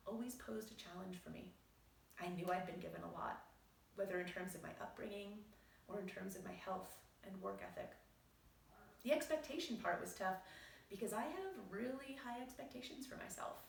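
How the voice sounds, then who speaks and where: A woman doctor reads from her own book at an even, explanatory pace, her voice slightly gravelly. She sits a little back from the microphone, and the room adds a noticeable echo. A faint ambient hiss stays underneath. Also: mid-range voice, recorded from a distance. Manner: measured, explanatory reading. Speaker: physician and author. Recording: roomy echo, voice set back from the mic.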